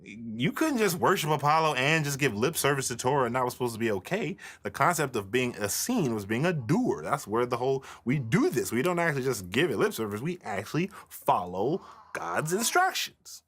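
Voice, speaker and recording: A man teaches in a loose, improvised lecture style. His pitch moves around a lot, and his delivery is colloquial and emphatic, leaning hard on key words. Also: big pitch swings, a little fast, fairly bright tone, breathy edge. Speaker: religious history teacher.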